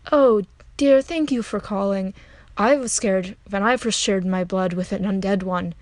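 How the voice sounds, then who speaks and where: A woman's voice: medium pitch, a little slow, darkish tone, dry recording, natural voice. Woman acting as breezy folksy radio advice host, matter of fact, close dry sound. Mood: breezy, advising. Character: breezy female radio agony aunt for vampire lovers. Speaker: call-in show host. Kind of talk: comic radio drama call-in hosting.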